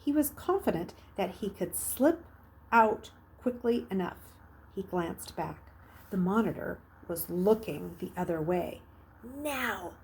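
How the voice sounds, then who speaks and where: A woman reading a children's novel aloud as a storyteller, narrating expressively, with emphatic swells and hushed phrases. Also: mid-range voice, animated, slow pace, slight background noise, rough recording.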